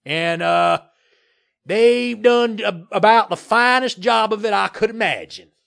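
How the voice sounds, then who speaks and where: A man voicing a blustering, bullying land developer in an audiobook story, with a loud, growling, puffing delivery. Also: sits high, measured pace, gravelly, clean tone, pitched above the performer's own voice, full character voice. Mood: flustered.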